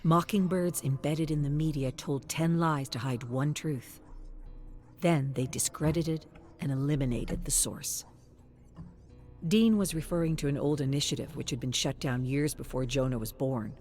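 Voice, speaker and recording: A man reading scripted story narration in a measured, even storytelling delivery. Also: fairly high pitch, faint music behind.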